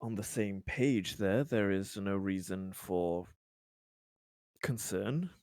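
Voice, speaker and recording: A man's voice: low-pitched, takes it slowly, darkish tone, dry recording, studio quality. A man improvising in-character dialogue in a tabletop roleplaying game, speaking hesitantly in polite, formal phrases.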